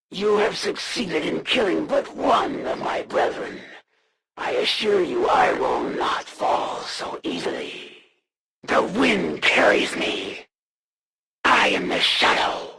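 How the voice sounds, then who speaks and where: Gravelly, haughty alien assassin. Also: female voice, mid-range voice, fairly bright tone, full character voice. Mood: haughty threat, then cold menace. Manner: formal, solemn, haughty, deliberate delivery. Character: proud female alien assassin, honor-bound, menacing, serving the Elders. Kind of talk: theatrical video game villain lines.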